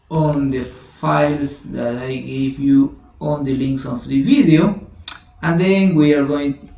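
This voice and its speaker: A man whose first language is Spanish, teaching a software tutorial in a halting way, thinking aloud with many restarts and self-corrections. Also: fairly high pitch, vocal fry. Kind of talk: screen-recorded software tutorial. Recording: roomy, distant, dull lo-fi home recording.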